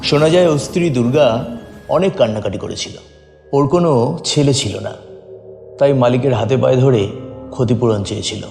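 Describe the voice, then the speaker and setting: Mellow, echoing male storyteller. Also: mid-range voice. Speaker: story narrator. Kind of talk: dramatic first-person audio story narration. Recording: roomy, long reverb.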